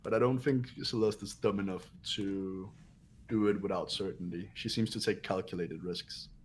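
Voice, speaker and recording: A man's voice: low-pitched, steady tone, normal speed, a little hiss or hum. A man chatting casually as part of a voice cast playing through a game. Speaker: voice cast member. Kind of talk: casual gaming chat.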